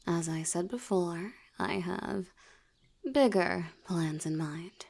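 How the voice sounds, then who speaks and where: A woman's voice: on the low side, takes it slowly, vocal fry, a little mellow, full character voice. A woman voicing a seductive, teasing female vampire in an ASMR audio roleplay, often dropping into a whisper.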